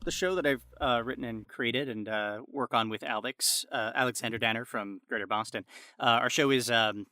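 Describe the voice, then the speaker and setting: A man chatting casually as a podcast guest, rambling and haltingly repeating his words as he goes. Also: medium pitch, sharp tone, dry recording. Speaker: guest, audio drama creator.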